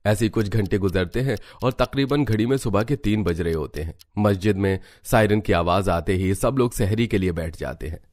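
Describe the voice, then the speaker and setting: A man's voice: lowish voice, moderate pace, few pauses, a little mellow, no echo. A man narrating a scripted children's cartoon story.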